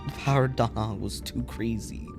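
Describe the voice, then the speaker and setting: Male streamer, casual live gameplay commentary, average pitch, clear game music underneath. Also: fairly quick. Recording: loud game music behind.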